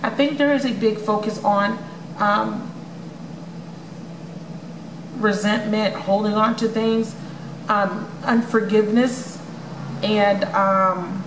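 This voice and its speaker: A woman's voice: mid-range voice, steady tone, takes it slowly, long pauses. Chatty woman giving a tarot reading. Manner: chatty, many ums, addresses viewers as you guys. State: voice may crack from many readings. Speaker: tarot reader. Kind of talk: casual tarot reading vlog. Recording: echoey room with noisy background.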